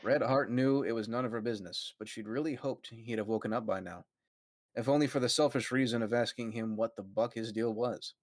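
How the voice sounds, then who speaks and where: A man's voice: mid-range voice, fairly quick, no room sound. Man narrating a story, dry close sound. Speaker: narrator of a group fan fiction reading. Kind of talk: story narration with amused asides to friends.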